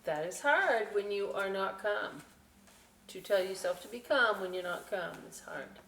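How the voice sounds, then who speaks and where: A woman presenting a children's story time, reading aloud with chatty, animated, folksy asides straight to young viewers. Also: mid-range voice, measured pace, creaky. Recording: roomy, distant mic with faint hiss.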